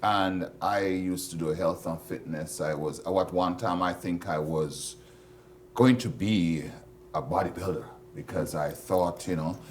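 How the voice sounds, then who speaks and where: A Jamaican man hosting a casual chat, joking and laughing, with sudden loud bursts. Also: deep voice, unhurried, a little hiss or hum. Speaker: host.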